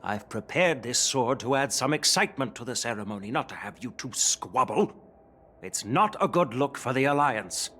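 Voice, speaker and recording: A man voicing a dignified elderly general who speaks in a measured, courteous and stately way, recorded as a studio game dub. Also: mid-range voice, animated, fairly bright tone, a little hiss or hum, close to an everyday voice. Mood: stern.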